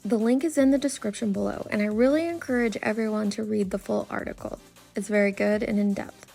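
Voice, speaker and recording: A woman giving scripted commentary in the style of an online video host, summarising an article in a measured, earnest way. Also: mid-range voice, gravelly, mellow, soft background music. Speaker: true crime YouTube commentator.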